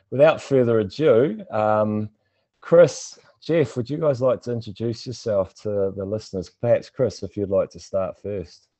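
A man's voice: fairly low pitch, dark tone, no room sound. A man hosting a podcast interview in a casual, rambling way, often repeating words as he searches for the phrasing. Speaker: podcast host. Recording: dull lo-fi sound.

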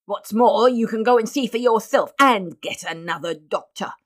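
A woman's voice: medium pitch, very fast, pitched above the performer's own voice, lightly acted. A woman voicing a stern, indignant older male family doctor in an audiobook, with a cutting, emphatic delivery. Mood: furious. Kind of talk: audiobook character voice.

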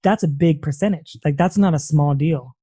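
Mellow-voiced rambling male podcast host. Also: a little high, normal speed, husky, dry recording, studio quality. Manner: rambling, filler-heavy, conversational. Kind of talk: casual interview conversation.

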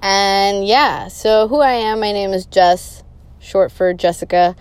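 A woman's voice: medium pitch, steady tone, takes it slowly, darkish tone, smooth, no room sound. A woman in her twenties from Boston, talking casually and candidly as a podcast host, with lots of ums. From Boston, USA. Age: 20s. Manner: chatty, candid, with many ums and fillers. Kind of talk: casual solo podcast chat.

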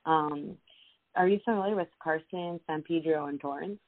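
Young woman guest, lowish voice, rambling casual chat, tinny phone-line sound. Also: moderate pace. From Los Angeles, USA. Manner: chatty, many fillers and restarts. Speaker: podcast guest, social media creator.

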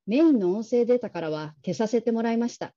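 Woman acting a very mellow, courteous manager. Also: on the high side, animated, highs cut off, lightly acted. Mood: earnest, measured. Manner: gentle, measured, courteous. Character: polite, caring, discreet female call-centre manager. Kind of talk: radio drama reading.

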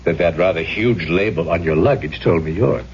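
An actor playing a courtly, mysterious gentleman in his forties in a 1970s radio drama, with old-fashioned, theatrical phrasing. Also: low voice, normal speed, lightly acted. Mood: smooth, teasing. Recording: muffled, noisy 1970s radio recording with hum.

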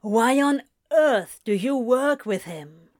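A woman's voice: on the high side, expressive, takes it slowly, a little mellow, dry recording, studio quality. A woman voicing a sardonic, grumbling man with a theatrical, sarcastic way of talking, in a story reading. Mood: scornful.

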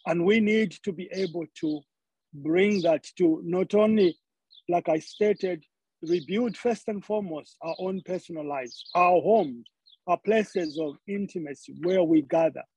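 A man's voice: sits high, unhurried, darkish tone, husky, rough recording. A man from Zambia preaching a sermon, often repeating words as he searches for the next phrase.

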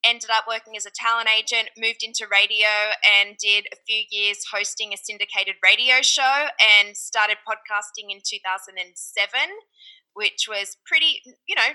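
A woman's voice: medium pitch, pushed and bright, rough recording. A middle-aged Australian woman with an Australian accent, a podcast agency founder telling her career story in a chatty, upbeat way. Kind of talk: conversational interview answers.

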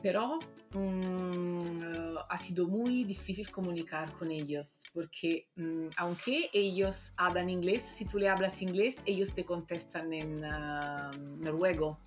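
Non-native Spanish-speaking woman, low-ish voice, halting casual talk, faint music under. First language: not Spanish. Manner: hesitant, many fillers and restarts. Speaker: doctoral researcher. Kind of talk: casual conversation.

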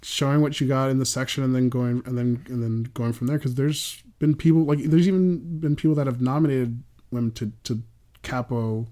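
A man's voice: medium pitch, normal speed, mellow. A man with a mid-range voice chats casually on a podcast. He agrees readily and keeps his remarks short and supportive. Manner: agreeable, backchannels often, short affirmations.

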